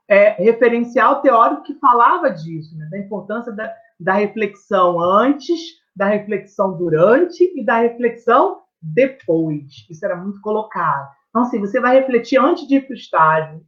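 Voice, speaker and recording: A man's voice: very high voice, dull audio. A man with a Brazilian accent, a teacher explaining his research in conversation, reflective and emphatic, often adding tag questions.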